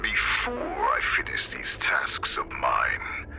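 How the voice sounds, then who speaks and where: A man voicing a brutal, menacing wolf-like warlord villain in a video game, with a gravelly, theatrical delivery. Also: takes it slowly, over loud music, natural voice.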